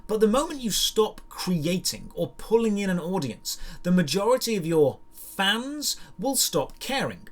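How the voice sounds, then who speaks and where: A man delivering scripted opinion commentary as a show host, in an emphatic, rhetorical way with deliberate stresses. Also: high voice.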